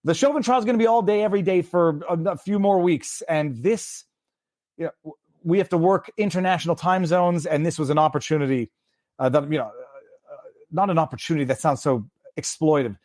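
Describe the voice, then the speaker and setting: A man's voice: on the high side, brisk, a little mellow, dry recording, studio quality. A man from Quebec hosting a livestream interview, chatty and rambling, firing off many questions. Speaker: host.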